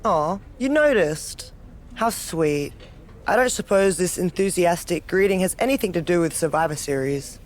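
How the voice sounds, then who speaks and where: A woman's voice: lowish voice, lively intonation, moderate pace, darkish tone, slight background noise, close to an everyday voice. A woman playing a cocky, dominant, sardonic wrestler who relishes chaos in a video game cutscene. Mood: mocking. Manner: sardonic, self-assured. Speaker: pro wrestler character.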